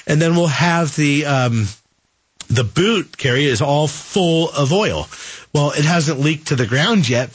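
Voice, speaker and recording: A man hosting a call-in car repair radio show, talking casually through a rough, coughing voice as he explains leaks to listeners. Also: mid-range voice. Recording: dull radio broadcast sound.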